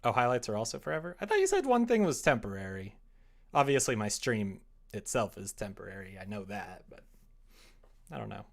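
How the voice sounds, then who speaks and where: A man's voice: on the low side, big pitch swings, a little fast, gravelly. A young man chatting casually and playfully as a livestreamer, reading and answering his viewers at his computer. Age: young adult. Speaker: streamer. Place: small room at home, indoors.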